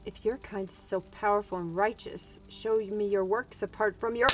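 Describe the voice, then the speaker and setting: A woman playing an earnest, defiant human in a fantasy audio drama, speaking formally and deliberately. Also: mid-range voice, fairly even intonation, loud music behind the voice, natural voice, rough recording. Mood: earnest, challenging. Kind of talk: fantasy audio roleplay acting.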